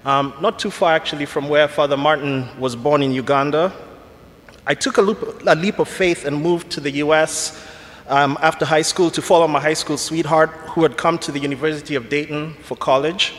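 A man in his forties with a Kenyan accent, giving an earnest, measured reflection to a church congregation. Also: fairly high pitch, fairly even intonation, a bit bright. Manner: earnest, measured, slightly self-deprecating. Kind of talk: prepared church talk. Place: church sanctuary. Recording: long church reverb.

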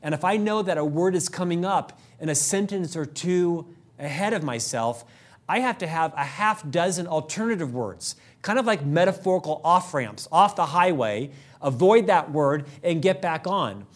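Middle-aged male host, rather high voice, quick and brisk, slight stutter; leadership monologue. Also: animated. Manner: fast, brisk and upbeat, with occasional stutter slips. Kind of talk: scripted monologue to camera.

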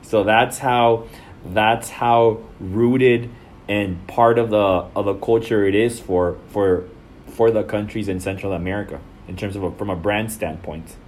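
A man from El Salvador answers questions in a measured, businesslike way, with some restarts. His voice sits low. A faint background hiss runs under his speech. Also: fairly even intonation, a little mellow. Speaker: marketing director. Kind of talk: interview answers.

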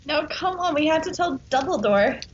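A woman's voice: high voice, expressive, moderate pace. A woman voicing a bratty, foul-mouthed gothic teenage girl in a melodramatic amateur comedy reading. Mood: mopey. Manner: exaggerated, melodramatic delivery. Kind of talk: amateur comic dramatic reading. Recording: noisy, muffled podcast recording.

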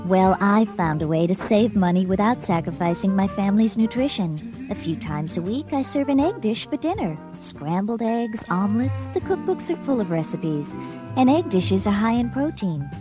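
A woman's voice: mid-range voice, lively intonation, moderate pace, over music. Woman in a scored food commercial. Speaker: homemaker testimonial in commercial. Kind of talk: scripted food advertisement.

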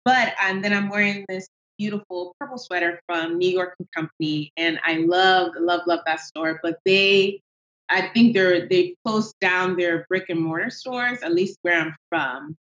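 Woman, Nigerian accent, lowish voice, animated and laughing, echoey room, dull video-call sound. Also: a little slow. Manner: lively, animated, laughs easily. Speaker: guest entrepreneur. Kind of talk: casual interview chat. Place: at home.

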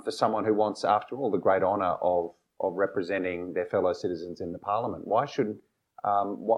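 A man moderating a live discussion, picking questioners and asking pointed follow-up questions briskly. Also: low-pitched, muffled recording. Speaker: moderator, think-tank director.

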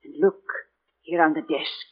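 An actress playing a secretive, superstitious old housekeeper in a 1940s radio mystery, with a hushed, ominous, dramatic delivery. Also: female voice, low-pitched, a little slow, slightly rough. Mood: ominous, insistent. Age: older. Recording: muffled, noisy 1940s radio recording.

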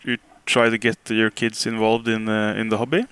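A man's voice: lowish voice, flat delivery, normal speed, a bit bright, smooth, no echo. A Norwegian man hosting a casual podcast chat in English, speaking with a Norwegian accent and many hesitant fillers. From Norway. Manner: hesitant, filler-heavy, laughs easily. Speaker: podcast host. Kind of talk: casual chat over a call.

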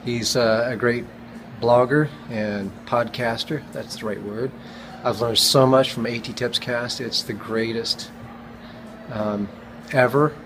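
A man's voice: mid-range voice, steady tone, takes it slowly. An American man giving a casual, unscripted testimonial as a speech therapist. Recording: noisy event background ambience.

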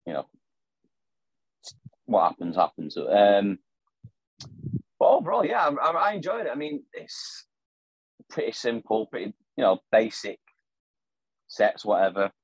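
A man's voice: lowish voice, wildly swooping pitch, a little slow, pauses often, breathy edge, no echo. A man co-hosting a film podcast, chatting casually in short, piecemeal phrases, on dull audio. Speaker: film review podcast co-host.

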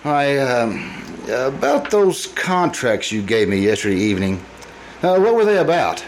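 A man's voice: mid-range voice, very animated, unhurried, slight background noise. A man playing a troubled, forgetful factory boss in 1940s radio style, hesitant, anxious and stammering. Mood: worried, confused.

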